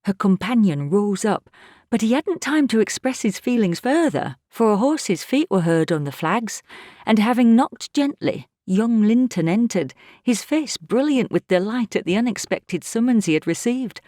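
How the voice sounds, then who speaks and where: An actress playing an observant, plain-spoken housekeeper who tells a story in measured audiobook narration. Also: female voice, fairly high pitch, lively intonation, mellow, no echo, clean studio sound.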